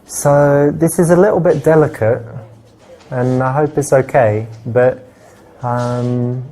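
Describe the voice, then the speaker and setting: A man's voice: medium pitch, takes it slowly, dark tone. A man acting as a friendly, flirtatious character in casual, slightly hesitant conversation for a live-action game. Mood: gentle, hesitant.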